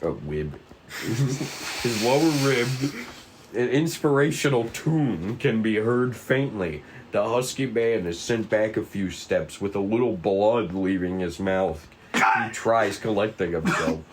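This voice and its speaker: A man's voice: fairly low pitch, lively intonation, slow pace, background noise. A man reading stage directions aloud as narrator at a script table read.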